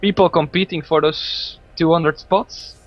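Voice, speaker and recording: A man from Belgium, not a native English speaker, casting a live esports match in a relaxed, chatty way with many fillers. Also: fairly high pitch, a little slow, no room sound. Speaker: esports caster. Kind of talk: live game commentary. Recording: dull lo-fi stream audio, faint music.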